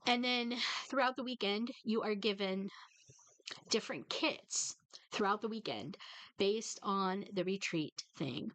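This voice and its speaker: A woman's voice: mid-range voice, measured pace, a bit bright, no room sound, poor audio. Stuffed up woman rambling to her viewers, lots of ums, dry close sound. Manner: rambling, many fillers and restarts. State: stuffed up from a head cold; tearful. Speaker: cross stitch vlogger. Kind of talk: casual chat to viewers.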